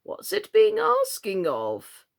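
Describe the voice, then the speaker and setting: A woman voicing a very old male frog with a deep, hoarse, slow drawl and muddled grammar. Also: huge pitch swings, heavily acted. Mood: grumbling. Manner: ungrammatical, muttering.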